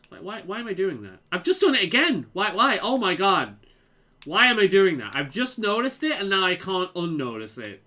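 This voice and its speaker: Casual game streamer, man, mild Liverpool accent, lively stammering delivery. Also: high voice, moderate pace. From England. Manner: repeats words, stammers, restarts sentences. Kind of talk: casual chat while playing.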